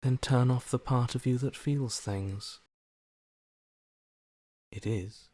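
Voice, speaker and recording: An actor playing a detached, emotionless inner voice in a video game, dryly sardonic in manner. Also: male voice, medium pitch, takes it slowly, darkish tone, no room sound, studio quality. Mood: cold, detached. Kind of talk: scripted game voice acting.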